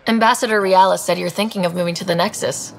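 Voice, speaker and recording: A woman's voice: fairly low pitch, steady tone, fast talker, vocal fry. A woman playing a capable, earnest young space explorer in a video game, speaking plainly and in a businesslike way. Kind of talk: video game dialogue acting.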